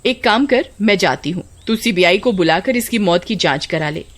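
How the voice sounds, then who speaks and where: A woman voicing an excitable, curious young village girl in an animated story, full of exclamations and questions. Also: medium pitch, moderate pace, close to an everyday voice. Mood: puzzled, alarmed.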